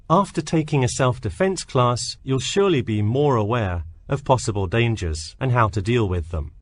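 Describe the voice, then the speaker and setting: A man playing an earnest campus safety officer, giving a measured, instructive scripted talk to students. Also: on the low side, natural voice.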